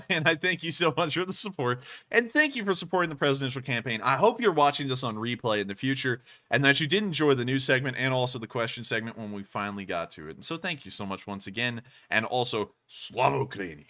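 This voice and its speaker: A young man co-hosting a live stream, talking in a highly fluctuating, high-pitched voice. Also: fast. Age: young adult. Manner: animated, high-pitched, swooping delivery.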